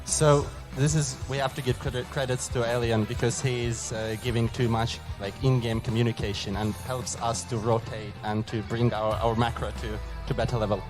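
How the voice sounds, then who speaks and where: A man interpreting for esports players, with relaxed, loose phrasing. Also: mid-range voice, fairly quick, hardly any pauses, over music.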